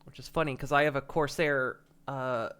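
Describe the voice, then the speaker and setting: A man's voice: mid-range voice, steady tone, moderate pace, a bit bright, clear, resonant tone. Congested man chatting on stream. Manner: rambling, frequent chuckles and restarts. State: congested, stuffy nose. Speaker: livestreamer. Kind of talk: casual stream chat. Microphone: desk microphone.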